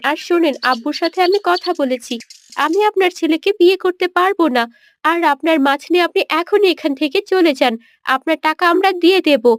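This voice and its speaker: A woman's voice: extremely high pitch, brisk, dry recording. A woman voicing a bold, outspoken young elder daughter in a cartoon, with a firm manner. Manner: firm, scolding. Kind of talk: Bengali cartoon voice acting.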